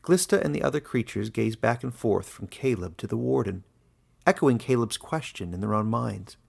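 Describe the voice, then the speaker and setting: A man reading scripted fantasy narration in a deliberate, dramatic storytelling manner. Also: medium pitch, expressive, creaky, darkish tone, breathy edge. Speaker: author and narrator.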